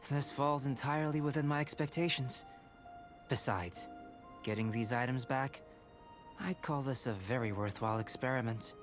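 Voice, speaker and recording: A man voicing a calm, methodical young alchemist. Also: medium pitch, natural voice. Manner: measured, courteous, analytical. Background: game music.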